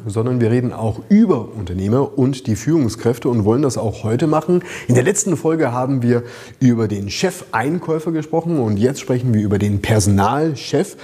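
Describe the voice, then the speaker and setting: A man's voice: medium pitch, mellow. A man hosting a business podcast, friendly and teasing, steering the talk with questions. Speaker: podcast host.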